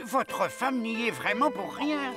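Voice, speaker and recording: Cartoon role: self-important living toy, fussy, lively pitch movement, music bed. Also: male voice, slow, exaggerated voice. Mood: reproachful. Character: pompous, fussy living toy, learned sidekick. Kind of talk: French cartoon dubbing. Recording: music under the voice.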